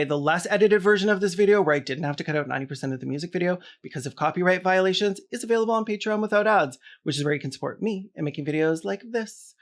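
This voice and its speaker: Higher-voiced man with wry sarcasm. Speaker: video essayist and host. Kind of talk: scripted video essay commentary.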